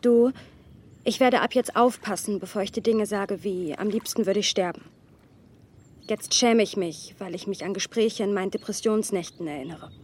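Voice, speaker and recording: A woman's voice: medium pitch, normal speed, a little mellow, breathy edge, natural voice. An actress playing a candid, sensitive, bookish woman talking with a close friend in a radio drama. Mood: ashamed, earnest.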